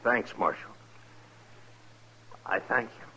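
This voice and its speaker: An actor playing a wary, agitated soldier on the run, halting and intense, in a 1950s radio western. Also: male voice, on the low side. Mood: subdued, grateful. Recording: muffled, noisy old radio recording.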